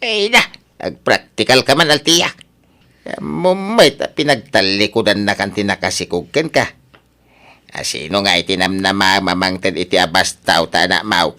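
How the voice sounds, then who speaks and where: A man's voice: sits low, normal speed, bright, forceful tone. A man acting as a wheedling, money-minded older father in an Ilocano radio drama, with an Ilocano accent. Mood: scheming, insistent.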